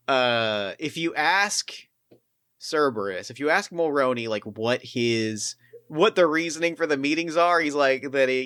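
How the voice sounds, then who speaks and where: A man talks through his character and the scene as game master, circling back on his words as he thinks. His voice is smooth and resonant, at a middle pitch, and the sound is dry and close. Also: a bit bright, no room sound. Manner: thoughtful, rambling with frequent restarts. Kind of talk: actual play character talk.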